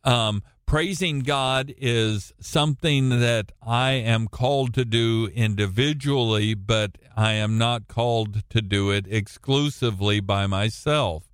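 A man preaching a sermon and reading scripture into a computer microphone. He has a booming voice and speaks deliberately, with frequent ums. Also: a bit bright, clean tone, no room sound, clean studio sound. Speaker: pastor.

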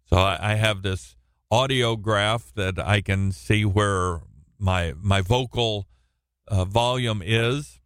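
A man teaching through a Bible passage on the radio, with a loud, projecting voice and a halting delivery full of restarts and repeated words. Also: on the low side, clear, resonant tone, no room sound, studio quality. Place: radio studio, alone.

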